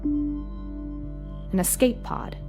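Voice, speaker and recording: A woman playing a matter-of-fact space freighter captain, giving a measured official report in a scripted audio drama. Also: music in the background. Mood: wondering, recounting. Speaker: narrator of a fiction podcast. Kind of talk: scripted first-person audio drama narration.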